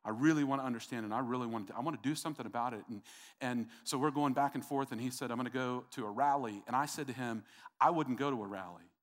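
A man's voice: medium pitch, fast. A male pastor preaching a sermon in an earnest, repetitive way, speaking directly to his congregation. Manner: earnest, repeats himself, talks to the congregation.

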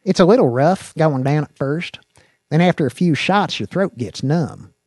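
This is a man acting out a scruffy country moonshiner from an audiobook tale. He is cocky, folksy and taunting, with an American country accent. The voice sits a bit high and is recorded dry and close. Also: expressive, moderate pace, a little mellow, slightly rough, dry recording. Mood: teasing.

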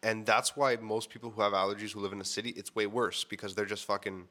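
Chatty congested man, fairly low voice. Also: flat delivery, fast, bright, forceful tone. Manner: chatty, frank, swears casually, many "like" fillers. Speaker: vlogger. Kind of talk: casual storytelling to camera. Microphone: mic on camera setup.

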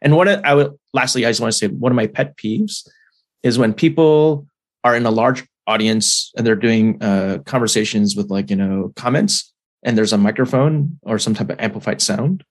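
A man hosting a podcast in casual conversation, talking in an animated way with many restarts and fillers. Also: on the low side, normal speed. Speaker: podcast host.

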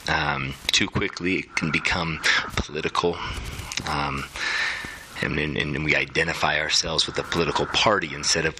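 A man's voice: very deep voice, lively intonation, moderate pace, gravelly, pushed and bright, slight background noise, low-quality recording. A man hosting a live onstage interview, asking long framed questions with fillers. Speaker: host and interviewer. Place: event center stage.

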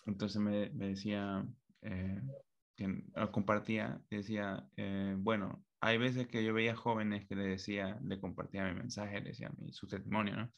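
A man's voice: fairly low pitch, flat delivery, moderate pace, gravelly, muffled recording. A man hosting a casual conversation, rambling and offering frequent backchannels.

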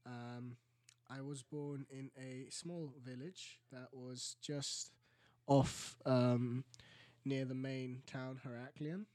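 A man's voice: level tone, slow pace, dry recording, studio quality. A young man in his late teens from Greece with a Greek accent, co-hosting a podcast in casual chat and telling rambling, laughing stories. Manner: rambling, laughing storyteller, voice often drops low and soft. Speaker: podcast co-host.

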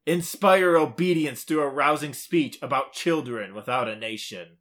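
A man's voice: medium pitch, normal speed, fairly bright tone. A man co-hosting a podcast in casual, playful banter, sometimes reading out quiz questions in a teasing way. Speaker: podcast co-host.

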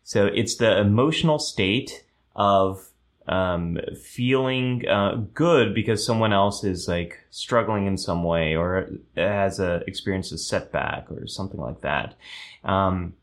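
Low-voiced man explaining on a live stream. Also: slow pace. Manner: explanatory, frequent ums and restarts. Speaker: host of a live show. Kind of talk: live-stream explainer talk, reading viewer comments.

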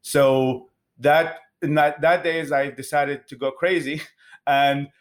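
A man from Iraq in his thirties, a guest answering interview questions in an earnest, halting way with many restarts. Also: on the high side, fairly even intonation.

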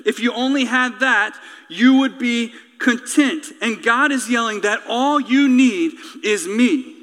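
Guy preaching with real passion, high bright voice, repeats phrases for punch, big reverby hall. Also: slow. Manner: emphatic, rhetorical, repetitive cadence. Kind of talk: impassioned sermon with repeated phrases, closing in prayer.

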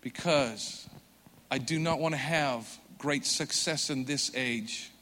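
A man preaching a sermon with earnest conviction, swelling loud for emphasis and dropping soft and slow. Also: mid-range voice, slight background noise. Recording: long hall reverb.

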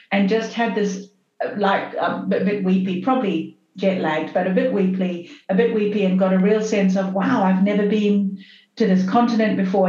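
A woman in her fifties from Zimbabwe, telling her story as a podcast guest in a reflective, halting way with many restarts. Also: mid-range voice, darkish tone. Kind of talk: casual interview storytelling. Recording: echoey, dull lo-fi sound.

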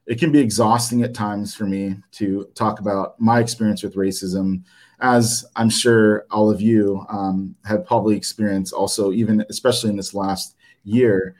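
Nervous, halting man in his thirties. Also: fairly low pitch, flat delivery, a little mellow. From United States. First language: Japanese. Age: 30s. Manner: halting and earnest, with many fillers and restarts. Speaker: church member giving a talk. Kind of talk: personal testimony to a congregation.

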